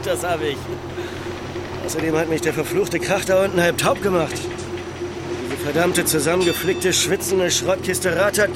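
An actor playing a tipsy, boastful ship's engineer in a radio drama, rambling on in whining, cursing complaint. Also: male voice, sits high, close to an everyday voice. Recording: loud engine clatter, outdoor deck noise.

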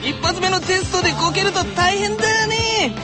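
A man's voice: very high-pitched, normal speed, loud music behind the voice. A man hosting a radio show, chatting casually and playfully with polite banter. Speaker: radio show host, voice actor. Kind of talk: casual comedic radio chat.

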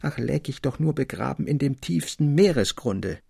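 A man's voice: mid-range voice, lively intonation, moderate pace, creaky, darkish tone, slightly rough, no room sound, clean studio sound, pitched above the performer's own voice, full character voice. A man voicing a melancholy, brooding young sailor in a storybook reading, withdrawn and gloomy. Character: melancholy brooding twenty-year-old sailor, weary of life. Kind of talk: audiobook character acting.

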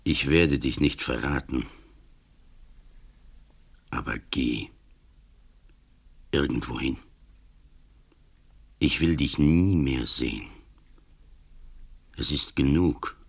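An old police commissioner in a radio drama, with an extremely deep voice. He speaks slowly and gravely, like a relentless judge passing sentence. He is ailing yet implacable. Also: full character voice. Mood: weary, stern. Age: older. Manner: slow, grave, accusing, relentless. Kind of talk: radio play acting.

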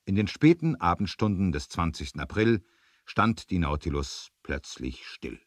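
Very deep male storyteller, husky, close. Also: expressive, no echo. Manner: measured storytelling with dramatic emphasis. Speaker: narrator. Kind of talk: scripted 1970s audio drama narration.

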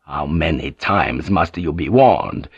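A man's voice: a bass voice, lively intonation, takes it slowly, breathy edge, no echo, lo-fi sound, voice pushed down, full character voice. A man reading an audiobook and voicing a stern, commanding church leader. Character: stern authoritative older male church leader.

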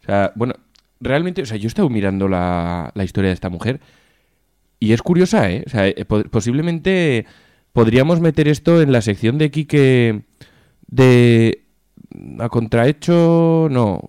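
A man's voice: mid-range voice, animated, normal speed, dark tone, clean tone, dry recording, studio quality. A man with an accent from Spain co-hosts a casual radio chat show in an informal, jokey way, swearing freely. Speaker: co-host of a music and chat show.

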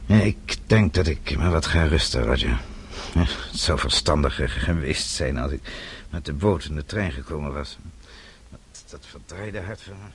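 An actor playing a composed older gentleman in a radio play, formal, measured and quietly authoritative. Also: extremely low pitch, lively intonation, slightly rough, full character voice. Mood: weary. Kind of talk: radio drama acting.

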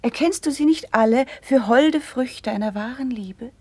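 An actress playing a gentle, thoughtful noble princess, speaking formal verse in a measured, soft-edged way in a classical radio drama. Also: female voice, on the high side, breathy edge, no room sound. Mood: gently probing. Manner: measured, formal, poetic declamation.

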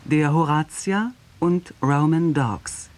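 A man's voice: a little high, slow. A man reading a scripted announcement of titles and credits for a radio play.

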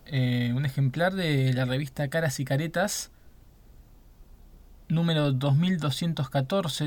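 Man narrating in a higher-pitched voice. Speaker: documentary narrator. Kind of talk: scripted narration, reading press reports aloud.

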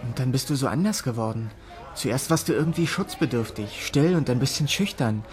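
A man's voice: mid-range voice, expressive, a little fast, some chatter behind, natural voice. An actor playing a serious, reproachful man in radio drama dialogue, speaking plainly. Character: earnest, reproachful man, a disillusioned lover and colleague.

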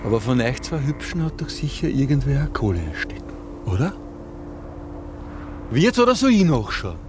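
An actor playing a domineering, sarcastic hijacker with an Austrian accent and colloquial dialect in a radio drama. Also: male voice, mid-range voice, sing-song, moderate pace, background noise. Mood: bossy, sarcastic. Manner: bossy, sarcastic, lecturing. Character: domineering hijacker, a conspiracy-minded man holding two hostages.

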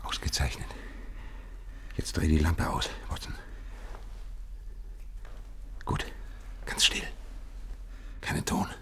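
A man's voice: measured pace, pushed and bright, whispered. An actor playing a shrewd, commanding male detective in a German radio drama, speaking with theatrical deliberation. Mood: hushed, commanding. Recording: noisy radio play recording.

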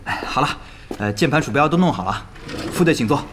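Animated, obliging guild organizer. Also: male voice, a little high, a little fast, a little hiss or hum. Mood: eager, flattering. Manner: obliging, chatty, excitable. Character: eager, deferential male gamer guild organizer. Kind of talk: audio drama acting.

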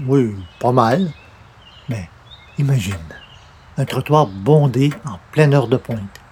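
Man acting mellow, genial, wheedling columnist. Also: medium pitch, slow pace, slight background noise, natural voice. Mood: wry. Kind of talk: old-time radio comedy acting.

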